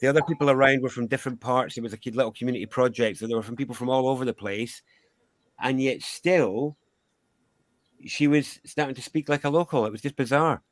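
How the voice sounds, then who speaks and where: A man's voice: mid-range voice, fairly quick, no room sound. Musing Scottish man, dry close sound. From Scotland. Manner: musing, rambling with restarts and asides. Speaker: guest sound healer. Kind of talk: casual conversation.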